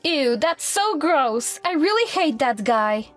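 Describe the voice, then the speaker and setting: The catty girl at the bully's side in an animated campus drama, high and scornful, acted by a woman, soft music behind her. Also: slow pace, full character voice. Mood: disgusted. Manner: scornful, exclaiming. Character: catty young woman joining in the mockery. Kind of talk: anime-style story dub acting.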